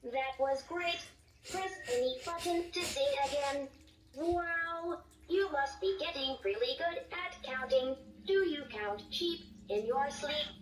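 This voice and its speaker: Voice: high voice, slow, noisy background. A voice actor playing a cheerful little toy robot tutor with upbeat, encouraging, sing-song lines, heard through a toy speaker. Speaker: learning toy voice. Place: toy speaker played in a room. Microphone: recorded from a distance. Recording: roomy, distant toy speaker with music.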